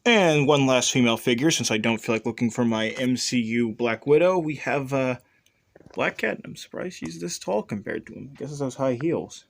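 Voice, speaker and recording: Male hobby reviewer, mid-pitched, casual and hesitant, rambling delivery, faint background noise. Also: moderate pace, gravelly. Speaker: toy reviewer, channel host. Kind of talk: casual unscripted review commentary.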